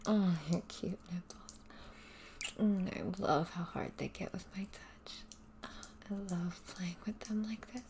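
Woman, intimate whisper, breathy, dominant teasing tone, gravelly fry, unhurried delivery. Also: fairly low pitch, steady tone, darkish tone, a little hiss or hum. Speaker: performer of an audio roleplay. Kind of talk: intimate whispered audio roleplay addressed to the listener.

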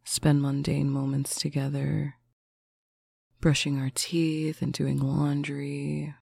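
A speaker in a slow, intimate roleplay, talking softly to a faraway partner on a bedtime call, often dropping into a whisper. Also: mid-range voice, completely flat delivery, vocal fry, slightly rough, no echo, clean studio sound. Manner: soft, affectionate, often whispered. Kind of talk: intimate roleplay monologue to the listener.

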